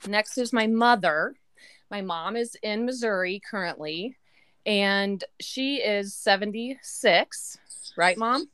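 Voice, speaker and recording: Podcast host, woman, 40s, chatty and laughing, mid pitch, dry room. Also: takes it slowly, fairly bright tone, smooth, no echo. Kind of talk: casual family chat. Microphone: remote call.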